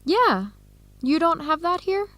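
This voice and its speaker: A woman's voice: extremely high pitch, lively intonation, slow, a bit bright, dry recording, heavily acted. A woman playing a friendly, considerate young Ethiopian American student in an educational radio drama, speaking earnestly. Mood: curious, friendly.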